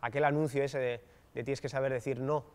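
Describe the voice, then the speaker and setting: A man in his thirties, a political candidate, giving thoughtful, hesitant interview answers with many fillers and restarts on a dark studio set. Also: mid-range voice, steady tone, a little fast, smooth, big-room sound. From Basque Country, Spain.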